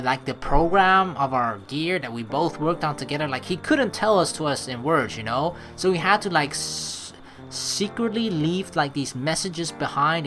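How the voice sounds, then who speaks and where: A woman's voice: low voice, fairly bright tone. A woman commentating over a game as a let's play host, rambling and swearing casually. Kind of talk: game commentary mixed with reading dialogue aloud.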